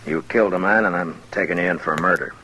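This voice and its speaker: An American actor playing a weary, firm frontier marshal in an old radio western, terse, measured and authoritative. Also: male voice, low voice, pushed and bright. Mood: dry, firm. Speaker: lead actor and narrator. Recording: noisy vintage radio recording.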